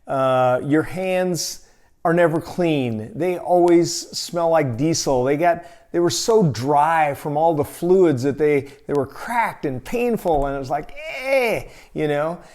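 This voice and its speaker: An American man telling a personal story in a casual, folksy, expressive and conversational way as a storytelling host. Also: a little high, slow.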